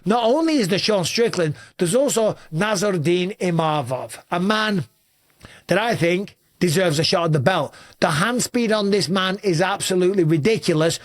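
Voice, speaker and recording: A middle-aged man with an English accent, hosting a fast, shouty commentary monologue, his voice a little raspy. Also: high voice, expressive, dry recording, clean studio sound. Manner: talks very fast and shouts a lot. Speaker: host.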